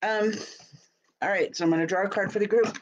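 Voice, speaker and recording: A woman's voice: mid-range voice, fairly even intonation, brisk, lo-fi sound, slight background noise. Chatty woman with a stuffy cold. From Utah. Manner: chatty, laughs often. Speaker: host. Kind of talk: casual live video chat. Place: home office, small room indoors. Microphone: webcam at desk.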